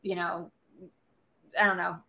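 A woman's voice: fairly low pitch, flat delivery, unhurried, vocal fry, muffled recording. A young adult woman co-hosting a casual film chat, rambling along with lots of "like". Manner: rambling, heavy use of "like". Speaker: podcast and stream co-host.

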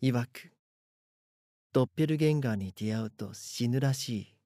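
A man's voice: mid-range voice, normal speed, dark tone, no echo, clean studio sound, lightly acted. A man playing a frightened, helpless schoolboy who narrates his own story in an audio drama. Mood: hopeless, resigned.

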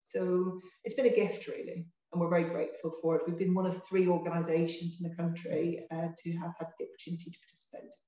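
A woman speaking as an interview guest, her voice low-pitched and mellow. She talks in a measured way, restarting phrases as she goes. The audio is echoey and a bit dull, like a lo-fi remote recording. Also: flat delivery. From Ireland. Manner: measured, with frequent restarts and fillers. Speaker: NHS trust managing director, guest. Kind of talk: interview conversation.

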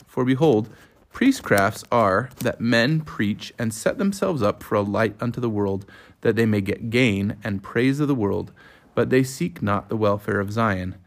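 A man reading scripture aloud as part of a family reading. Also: medium pitch.

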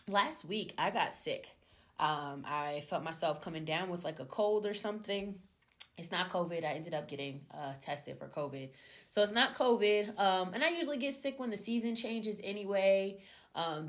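A young adult woman hosting her own podcast, talking casually and animatedly to her listeners, her voice slightly hoarse from a cold. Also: on the low side. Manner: chatty, animated, addresses listeners as y'all. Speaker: podcast host. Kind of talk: casual solo podcast chat.

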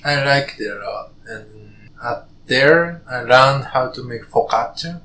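A man from Japan speaking English with a Japanese accent, chatting as a podcast guest in short, halting phrases. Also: medium pitch, pushed and bright, echoey room, slight background noise, rough recording. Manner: halting, simple phrasing, laughs easily. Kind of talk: casual chat.

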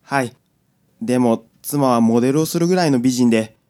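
An anxious newlywed husband played by a man with a middle-pitched voice. He sounds timid, polite and hesitant, in amateur radio drama style. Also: a little fast, a little mellow, clean tone, natural voice. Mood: nervous.

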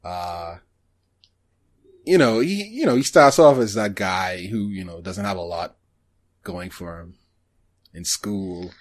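Casual podcast co-host, male, lowish voice, hesitant and halting delivery that tends to trail off. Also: animated. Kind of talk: casual anime discussion.

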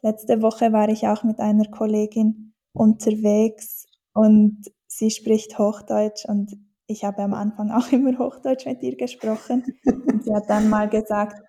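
A woman's voice: medium pitch, completely flat delivery, unhurried, mellow. A woman from German-speaking Switzerland with a Swiss accent, a dialect teacher answering interview questions thoughtfully, with many fillers and restarts.

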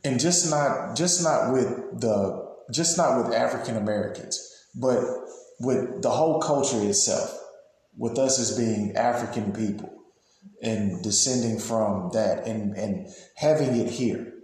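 A man in his thirties hosting a casual interview, halting and repeating words as he builds his questions. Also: medium pitch, darkish tone. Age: 30s. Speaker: podcast host interviewing. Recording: very echoey room.

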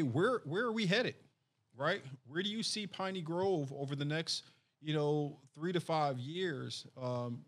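A man, a sheriff, hosting a podcast interview in an earnest, measured way with frequent restarts. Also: fairly high pitch.

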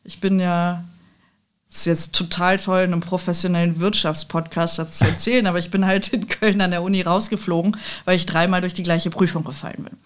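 A woman's voice: on the low side, flat delivery, a little fast. A woman from the Rhineland, talking candidly as an interview guest with self-deprecating humour. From Rhineland, Germany. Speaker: podcast guest, technical sales professional. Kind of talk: conversational interview, telling anecdotes.

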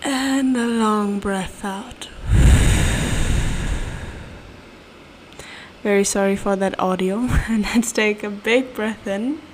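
A woman's voice: medium pitch, very slow, pauses often, a little mellow, a little hiss or hum, poor audio. A young woman with a German accent hosting a casual solo podcast, chatty and playful, giggling through her asides. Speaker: podcast host. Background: faint room ambience, cat nearby.

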